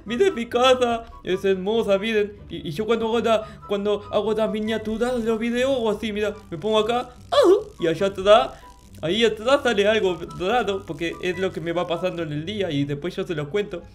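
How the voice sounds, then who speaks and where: A man's voice: high voice, fairly quick, faint music behind, lightly acted. A man voicing a childish, clueless, grumpy little game character, stammering and repeating himself in a Minecraft roleplay. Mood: puzzled, complaining. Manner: babyish, stammering, repetitive, easily flustered. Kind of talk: improvised Minecraft roleplay narration.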